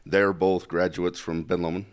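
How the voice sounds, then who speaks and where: Low-voiced blunt man from Utah. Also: level tone, moderate pace, clear, resonant tone. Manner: plainspoken, blunt, many fillers. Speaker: congressional candidate. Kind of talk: casual interview talk.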